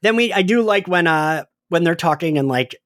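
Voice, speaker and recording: A man's voice: on the high side, expressive, normal speed, no echo, clean studio sound. A man co-hosting a podcast in casual chat, speaking emphatically with some swearing and restarted sentences. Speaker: podcast host. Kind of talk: casual chat, recapping an audio drama.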